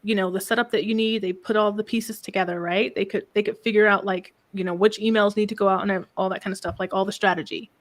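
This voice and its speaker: A soft-spoken woman business coach talking calmly in a casual interview, often ending thoughts with a questioning "right?". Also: medium pitch, fast, hardly any pauses. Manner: calm, reflective, frequent "right?" tags.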